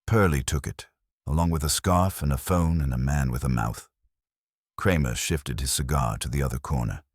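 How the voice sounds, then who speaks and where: A man reading a first-person detective story as narrator, in a wry, measured storytelling manner. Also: a bass voice, breathy edge, no room sound, studio quality.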